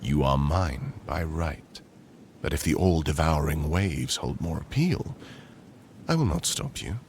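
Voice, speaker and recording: A man playing a proud, commanding and possessive conqueror king in a boyfriend roleplay audio, speaking in slow, formal, measured phrases with a deep gravelly voice. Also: expressive, a little hiss or hum, exaggerated voice. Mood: dry, controlled.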